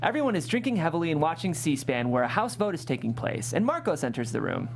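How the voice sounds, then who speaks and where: A woman's voice: deep voice, very animated, fast talker, slight background noise. A woman reading stage directions as scripted narration at a comedy table read.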